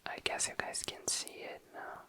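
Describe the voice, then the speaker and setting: A young adult ASMR host whispering casual, tentative commentary, often self-correcting in an unhurried way. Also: sharp tone. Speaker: host of an ASMR makeup video. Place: indoors, tabletop close-up video.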